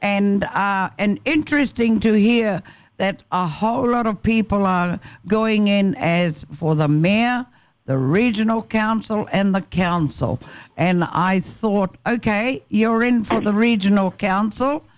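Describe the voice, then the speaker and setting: A man with a New Zealand accent hosting a radio interview in an unhurried, conversational way. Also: high voice, no room sound. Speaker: radio host.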